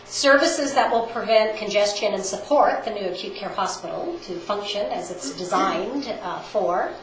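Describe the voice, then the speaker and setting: A woman giving a formal presentation to a town council, measured and speaking with frequent pauses and fillers. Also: medium pitch. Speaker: health organization presenter. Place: council chamber. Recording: roomy chamber audio with faint noise.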